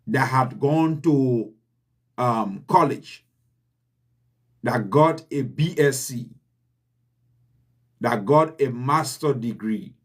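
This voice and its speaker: Slow, earnest Liberian man. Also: medium pitch. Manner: earnest and deliberate, with repeated emphasis. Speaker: channel host. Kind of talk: motivational address.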